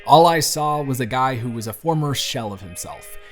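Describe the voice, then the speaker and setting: A man playing a bruised, self-deprecating teenage boy confiding in his best friend in an intimate audio roleplay, talking in a hesitant, gently wry, conversational way. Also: mid-range voice, lively intonation, normal speed, lightly acted. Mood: earnest, wounded. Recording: music behind the voice. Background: soft background music.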